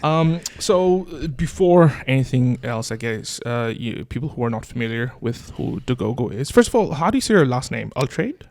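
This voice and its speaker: A male podcast host with a gravelly, creaky voice at an average pitch. His accent blends Bangladeshi, American, British and Australian. He talks casually and a little hesitantly, poking fun at himself. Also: animated, moderate pace, few pauses, darkish tone, no room sound. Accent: hybrid of Bangladeshi, American, British and Australian. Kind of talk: casual conversational interview.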